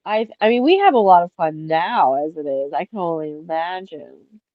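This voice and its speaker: A woman co-hosting a casual podcast chat, sounding nasal and stuffy from allergies, talking excitedly and saying "like" a lot. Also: on the low side, normal speed, no room sound, lo-fi sound. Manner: excitable, peppers speech with "like". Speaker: podcast co-host.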